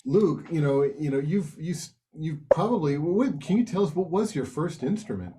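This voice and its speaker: A man hosting an online panel, asking questions with encouraging, halting restarts. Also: on the high side, lively intonation, noisy background. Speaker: host.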